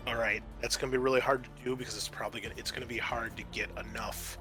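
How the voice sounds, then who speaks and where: A man narrating as game master of a tabletop roleplaying game, explaining scenes and rulings with thoughtful pauses. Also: medium pitch, level tone. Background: music.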